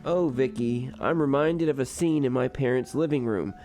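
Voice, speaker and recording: A man's voice: medium pitch, moderate pace, dark tone, faint music behind, lightly acted. A man playing a witty, urbane college president in a radio comedy, speaking in a wry, formal, eloquent way. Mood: reflective. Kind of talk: radio drama acting.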